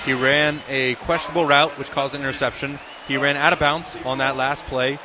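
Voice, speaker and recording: A man's voice: mid-range voice, fairly even intonation, a little fast. An American man giving emphatic, opinionated color commentary during a live football radio broadcast. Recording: loud stadium and outdoor ambient noise.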